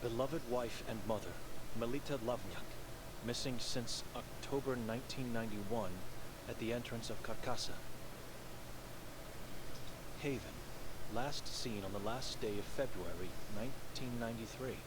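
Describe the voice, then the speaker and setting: A man playing a pious, anxious monk in a game, speaking in measured, formal phrases. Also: medium pitch, noisy background, lightly acted. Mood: somber. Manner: measured, solemn, formal wording. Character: pious, shy, anxious monk investigating his sister's disappearance. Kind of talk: game narration and dialogue.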